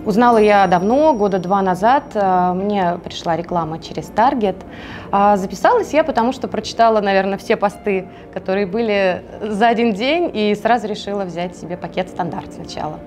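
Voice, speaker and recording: A woman who works as a brow artist answers in an upbeat, clear way. Her voice sits at a middle pitch. Faint music plays behind her. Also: moderate pace, darkish tone. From Russia.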